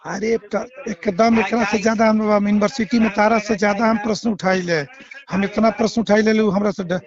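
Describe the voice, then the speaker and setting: A man's voice: high voice, little pitch movement, moderate pace. A man with a Bihari Bhojpuri accent in an informal phone discussion, placating and giving advice while talking over the other person. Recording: muffled phone call with crowd chatter.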